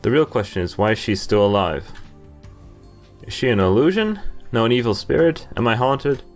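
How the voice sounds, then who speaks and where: A young man reading game text aloud and commenting as he plays, with amused, halting asides. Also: medium pitch, expressive. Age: young adult. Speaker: video game player and commentator. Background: game music.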